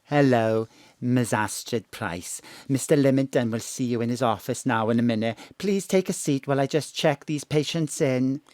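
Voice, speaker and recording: Woman putting on a low, fawning young male receptionist. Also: moderate pace, a little mellow, dry recording, clean studio sound. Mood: officious. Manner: gushing, over-polite.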